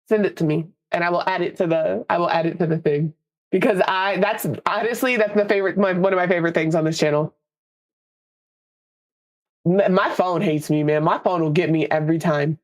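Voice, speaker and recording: A young woman from the United States, a streamer, chatting casually with her viewers in a rambling way and laughing easily, a little congested and nasal. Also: medium pitch. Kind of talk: casual live-stream chat.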